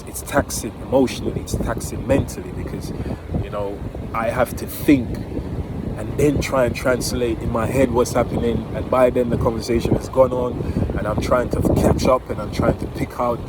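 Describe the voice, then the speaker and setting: A man with a Jamaican accent giving an earnest, emphatic, informal motivational talk outdoors, repeating key phrases. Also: medium pitch. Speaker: motivational vlogger. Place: outdoors near home by the water. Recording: very noisy outdoors, wind on the microphone.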